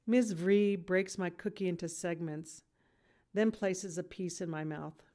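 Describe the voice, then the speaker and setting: A woman reading a children's novel aloud in expressive storybook narration. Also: lowish voice, unhurried.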